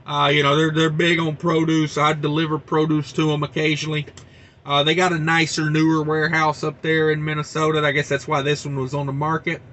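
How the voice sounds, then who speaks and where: A man's voice: a little high, monotone, a little fast, sharp tone, slight background noise. A man hosting a trucking channel, chatting casually about news and reading articles aloud with folksy wisecracks.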